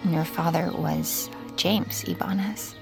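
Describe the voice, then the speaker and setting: An actress playing an anguished, protective middle-aged mother in an audio drama, tender and halting as she speaks. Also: on the low side, steady tone, gravelly, over music, full character voice. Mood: sorrowful, confessing. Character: anguished, protective mother confessing a family secret. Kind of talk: audio fiction acting.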